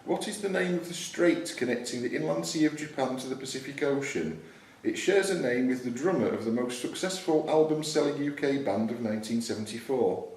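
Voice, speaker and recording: A man's voice: mid-range voice, lively intonation, brisk, darkish tone. Male quizmaster in an echoey room. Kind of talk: reading quiz questions aloud to a live room. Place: echoey indoor club room at a live quiz event. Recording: roomy, distant microphone, faint background noise.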